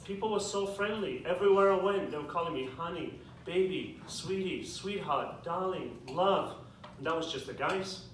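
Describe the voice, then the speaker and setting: A young man with a British accent doing a stand-up comedy set in a club with a live audience, delivering dry, self-deprecating punchlines with quick asides. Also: sits high. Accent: British English. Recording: echoey club, distant mic, audience noise. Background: audience laughter.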